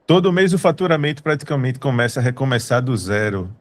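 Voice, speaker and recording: A man's voice: mid-range voice, sing-song, fast talker. A man with a Brazilian accent from Recife, presenting to an online meeting in a casual, emphatic way, repeating his points. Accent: Brazilian Portuguese, from Recife. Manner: emphatic, repeats points, talks straight to the audience. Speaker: studio co-founder presenting.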